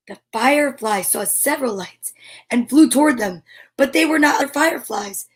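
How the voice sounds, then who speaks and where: A woman's voice: high-pitched, lively intonation, moderate pace. A woman hosting a children's storytime, reading a picture book aloud expressively with chatty asides. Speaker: storyteller and host.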